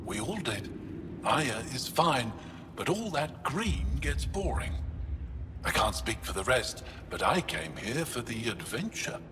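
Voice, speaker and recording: Alien male researcher, brisk and matter-of-fact, long echo, ambient noise. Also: mid-range voice, very animated. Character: upbeat alien male field scientist. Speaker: lead researcher. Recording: echoey game audio with ambience.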